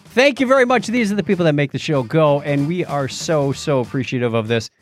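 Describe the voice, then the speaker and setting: A man's voice: a little high, brisk, soft background music. A middle-aged American man co-hosting a casual tech podcast, chatting and telling stories in a jokey way. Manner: jokey, animated storytelling. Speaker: podcast co-host.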